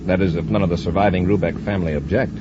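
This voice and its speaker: An actor playing a calm, authoritative space patrol commander in an old radio serial, with measured delivery. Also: male voice, sits low, lightly acted. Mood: explanatory. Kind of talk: 1950s radio serial acting. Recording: noisy, muffled old radio recording.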